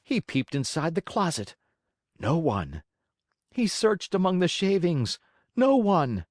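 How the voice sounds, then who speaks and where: A man's voice: fairly high pitch, huge pitch swings, slow, no room sound, studio quality. A man narrating a children's story as an audiobook reader, in a theatrical, emphatic way.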